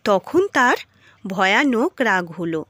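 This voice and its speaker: A woman storyteller narrating a children's story in an expressive, dramatic and emphatic way. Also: mid-range voice, takes it slowly, dry recording.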